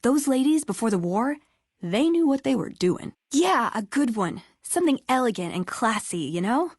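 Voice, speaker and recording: A woman's voice: a little high, very animated, normal speed, a little mellow, breathy edge, dry recording, studio quality, heavily acted. An American woman playing a wry, earnest young scribe in a video game, speaking in a conversational way. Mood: wistful, playful. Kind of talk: video game character dialogue.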